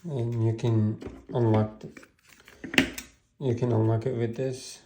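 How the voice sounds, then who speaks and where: A man's voice: medium pitch, little pitch movement, slow pace, very noisy. A man giving a casual product demonstration as a reviewer, in plain, step-by-step short phrases.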